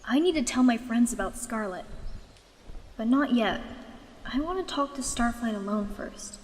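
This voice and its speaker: A woman's voice: on the high side, animated, normal speed, big-room sound, slight background noise, natural voice. A woman voicing a young female dragon in a fan dub, determined and sardonic, wanting to be queen. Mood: resolute.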